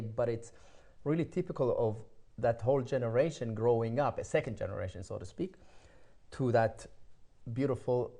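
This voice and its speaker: An older Israeli-accented man with a soft, mellow voice at an ordinary male pitch. He speaks as an interview guest, telling personal stories conversationally. His delivery is halting, circling back over words as he looks for the right phrase.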